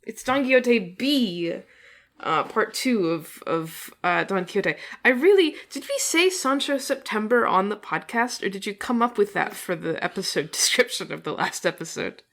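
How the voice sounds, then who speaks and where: A woman's voice: medium pitch, lively intonation, normal speed, a bit bright. A woman co-hosting a podcast in casual chat, rambling and giggling often, coming down with a cold. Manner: giggly, rambling with many fillers. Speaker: podcast co-host.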